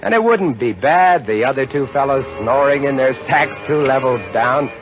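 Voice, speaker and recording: An actor narrating as a lighthouse keeper with vivid, dramatic storytelling in a 1950 radio drama. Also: male voice, mid-range voice, animated, moderate pace, lightly acted. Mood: tense. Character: lighthouse keeper recounting a horror, male, adult. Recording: dull old radio broadcast with music.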